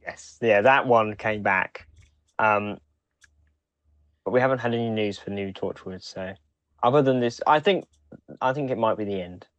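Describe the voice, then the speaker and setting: A man's voice: lowish voice, moderate pace, pauses often, a bit bright, no room sound, muffled recording. A man co-hosting a podcast, talking casually as he shares news and thoughts. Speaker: podcast co-host. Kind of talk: casual chat, reading out release news.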